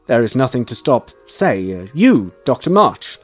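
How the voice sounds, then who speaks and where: An actor playing a driven, earnest Victorian scientist in a period drama, speaking formally and with rhetorical intensity. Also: male voice, mid-range voice, big pitch swings, unhurried, breathy edge, soft background music. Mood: impassioned lecturing. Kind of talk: theatrical period audio drama acting.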